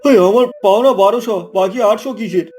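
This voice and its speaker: A man's voice: sits high, brisk, a little mellow, soft background music, natural voice. A man voicing a poor, honest young fish shop worker in a cartoon, earnest and pleading. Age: young adult. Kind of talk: cartoon character dialogue.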